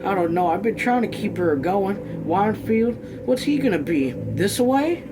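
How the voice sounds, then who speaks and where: Man voicing an anxious, pleading country mother, pitched high. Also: expressive, moderate pace, noisy background. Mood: pleading, distressed. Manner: folksy dialect, earnest pleading. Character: anxious, weary, pleading middle-aged rural mother.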